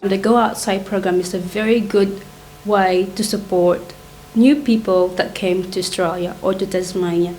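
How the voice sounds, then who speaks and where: A woman's voice: mid-range voice, steady tone, normal speed, darkish tone, low-quality recording. A woman, a program leader, speaking in simple, keen phrases.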